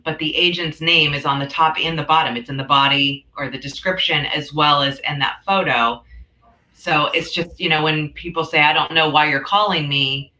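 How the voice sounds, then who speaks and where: A woman hosts a casual webinar with a bright, forward voice. She talks in a chatty, informal manner. The sound is roomy, with clear echo around her words. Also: low voice. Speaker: host.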